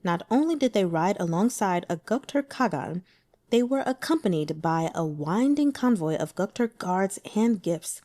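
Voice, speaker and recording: Lively, mellow-voiced woman storyteller. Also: mid-range voice, moderate pace. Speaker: audiobook reader. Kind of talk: scripted narration of a novel.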